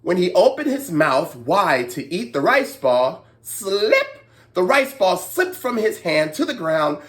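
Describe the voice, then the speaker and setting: A woman telling a story to young children, expressive, with playful asides and exclamations. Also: fairly low pitch, takes it slowly.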